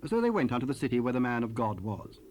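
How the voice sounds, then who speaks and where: A man narrates the Bible in a formal, measured style. His voice sits at a middle pitch, on an old cassette transfer with faint hiss. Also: big pitch swings, darkish tone. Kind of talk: scripted scripture narration. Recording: hissy 1970s cassette rip.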